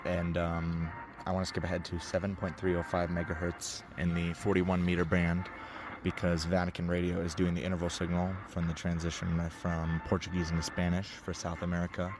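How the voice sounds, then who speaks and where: Low, even-voiced teenage guy. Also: a little fast, few pauses. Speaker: shortwave radio hobbyist narrating a bandscan. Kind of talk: casual spoken commentary. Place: outdoors at night. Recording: clear background noise.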